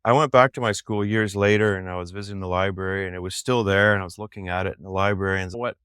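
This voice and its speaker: A man's voice: sits low, steady tone, fast, a bit bright, clean tone, no echo, clean studio sound. A middle-aged man, a podcast guest, chatting casually and telling rambling, enthusiastic stories. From Canada. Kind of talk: casual chat.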